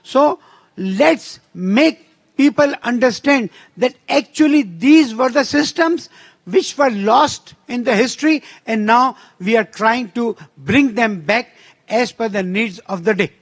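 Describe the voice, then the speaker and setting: Man, Pakistani accent, Urdu native speaker, conference speech, emphatic and deliberate, high voice. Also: no echo. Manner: emphatic, slows down to stress key points.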